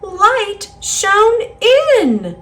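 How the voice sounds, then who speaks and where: A woman with an American accent reading a children's picture book aloud in an expressive, singsong rhyming delivery. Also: very high-pitched, very slow. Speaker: storybook reader.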